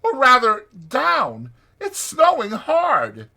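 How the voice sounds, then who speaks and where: A man's voice: very high-pitched, huge pitch swings, takes it slowly, creaky, pushed and bright, pitched above the performer's own voice, exaggerated voice. A man voicing a hearty, good-natured, capable water rat in a dramatized children's storybook, with an emphatic, jaunty manner. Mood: brisk.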